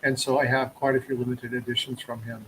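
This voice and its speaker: A man's voice: mid-range voice, flat delivery, fast talker, a bit bright, slight background noise, rough recording. A man from Texas hosting a casual live stream, chatting in an easygoing, joking way with a guest. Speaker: coin roll hunting streamer.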